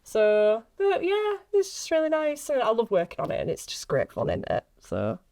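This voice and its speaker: A woman's voice: on the high side, huge pitch swings, moderate pace, warm tone. A woman chatting casually and informally as an actress on a podcast, peppering her speech with "like". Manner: informal, heavy use of "like". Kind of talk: casual chat.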